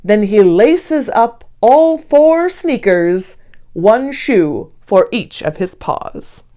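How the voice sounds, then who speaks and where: A woman reading a children's picture book aloud expressively, with deliberate storyteller phrasing. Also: medium pitch, expressive, mellow. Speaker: read-aloud host.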